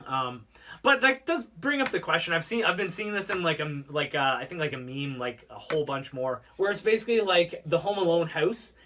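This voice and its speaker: A man with a bright, forward voice, picked up some way from the microphone with faint noise around him. He chats casually as a co-host, in a halting way, padding his sentences with "like" and circling back as he goes. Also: fairly high pitch, animated. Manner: halting, heavy use of "like". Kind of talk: casual chat. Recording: roomy distant mic, faint noise.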